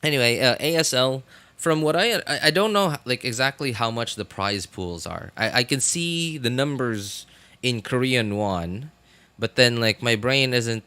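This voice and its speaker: A man's voice: mid-range voice, bright, forceful tone, clean tone, no echo. Rambling male streamer, close dry sound. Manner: rambling, halting, thinking aloud. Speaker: livestreamer. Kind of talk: casual livestream chat.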